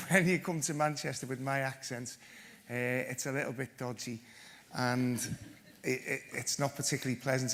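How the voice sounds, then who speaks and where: A man's voice: medium pitch, fairly bright tone, a little hiss or hum. A man giving a conference talk, telling his own story in a blunt, self-deprecating and joking way. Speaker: panel speaker, former PE teacher.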